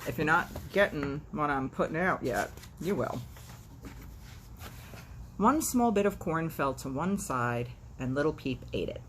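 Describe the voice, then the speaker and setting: A woman's voice: sits low, animated, a little slow, a little hiss or hum. A woman hosting a casual read-aloud of a children's book, chatting and narrating with wry, irreverent asides. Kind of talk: casual vlog chat and animated storybook read-aloud.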